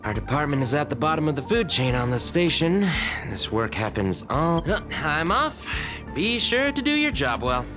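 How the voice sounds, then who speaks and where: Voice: medium pitch, moderate pace, lightly acted. An actor voicing a chatty, weary, teasing researcher in a video game, with sighs and muttered asides. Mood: weary, bossy. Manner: expressive, sighing, muttering asides. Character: chatty, weary, teasing station researcher, a grumbling office senior. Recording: studio voice over game music.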